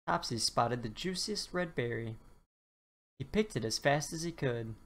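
A woman narrates a children's picture book for bedtime. She speaks slowly and deliberately, in a low register that swoops expressively around key words. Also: animated. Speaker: children's storybook reader. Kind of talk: read-aloud bedtime story narration.

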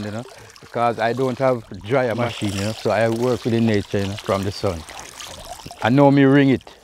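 A man's voice: medium pitch, background noise. A middle-aged man with a Jamaican accent, casually explaining a task outdoors by a river and saying "you know" a lot. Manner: easygoing, repeats "you know" often. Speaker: vlogger. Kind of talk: casual how-to vlog.

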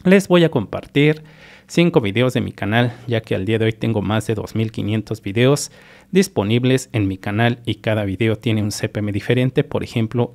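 A man's voice: medium pitch, gravelly, a little mellow. A man from Mexico hosting his own channel, methodically explaining earnings over a screen recording and speaking straight to his viewers. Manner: repetitive, methodical, addresses viewers directly. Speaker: YouTube channel host.

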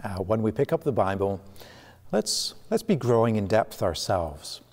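A middle-aged man, preaching a sermon in a church sanctuary, animated and gesturing, often restarting his phrases. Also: lowish voice, a little slow. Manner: animated, gesturing with both hands, frequent restarts. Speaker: pastor. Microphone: camera at medium distance. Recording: long reverb of a church hall.

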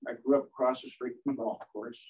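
An older man introducing himself as a board candidate at a meeting, pausing often with ums. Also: medium pitch, fairly even intonation. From Connecticut. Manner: hesitant, many ums. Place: meeting room. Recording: dull distant room mic.

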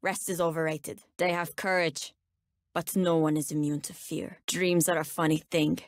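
A sardonic, menacing bounty hunter from a video game, a woman who wields nightmares. Her voice sits on the low side and carries a Turkish accent. Every line lands cool, deliberate and ominous, in a dry, close recording with no room around it. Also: little pitch movement, no room sound. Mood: determined, menacing. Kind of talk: video game character voice lines.

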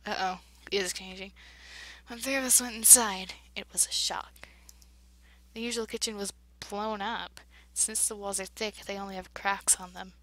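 A woman playing a game, reading its dialogue aloud and reacting with big emotional swings between loud outbursts and hushed narration. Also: mid-range voice, steady tone, moderate pace, rough recording. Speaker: let's play voice-over player.

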